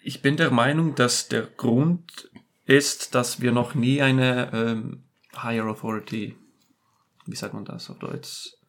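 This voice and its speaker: Gravelly Icelandic man speaking German. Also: mid-range voice, steady tone, measured pace, fairly bright tone. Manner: blunt, dry humor, searches for German words. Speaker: podcast guest, host's partner. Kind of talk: casual conversation.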